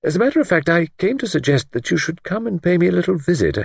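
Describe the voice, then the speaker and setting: A man's voice: high-pitched, sing-song, quick pace, vocal fry, breathy edge, no echo, studio quality, voice pushed up, full character voice. A man voicing an anxious, genteel spinster lady in an audiobook, fretful, fluttery and politely reproachful. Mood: hesitant politeness. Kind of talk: audiobook character acting.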